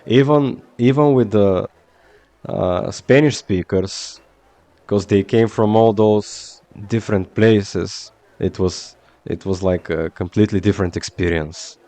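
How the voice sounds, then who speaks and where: A man's voice: on the low side, unhurried, warm tone, no echo. A man from Bulgaria with a Bulgarian accent, chatting casually while streaming a game. He rambles in a hesitant way, full of fillers.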